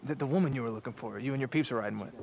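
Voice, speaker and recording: An actor playing a chatty, joking recruit in a video game, with a breezy, familiar manner. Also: male voice, mid-range voice, racing, gravelly, background noise, natural voice. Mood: friendly, teasing.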